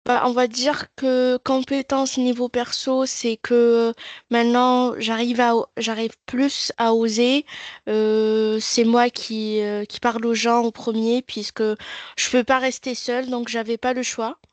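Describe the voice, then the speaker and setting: A woman from Morocco, whose first language is not French, shares her exchange experience on a video call. She talks haltingly, full of fillers and restarts, in a rather high and flat voice. The sound is dry and close. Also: no echo. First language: Moroccan language; French second. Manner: hesitant, many fillers and restarts. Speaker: apprentice engineer sharing an exchange experience. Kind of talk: webinar testimony.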